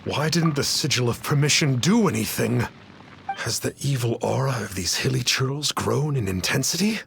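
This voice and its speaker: An actor playing a boastful, cowardly fake exorcist who speaks grandly and calls himself "one". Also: male voice, mid-range voice, lively intonation, normal speed, vocal fry, a bit bright, slight background noise. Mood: flustered. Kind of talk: video game cutscene voice acting. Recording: game music behind.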